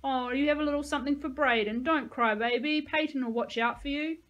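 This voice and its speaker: Woman doing a bullying young gang leader guy, shouty and leering, pitched up loud. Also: fairly even intonation, fairly quick, muffled recording, lightly acted. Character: brutal swaggering young male gang leader.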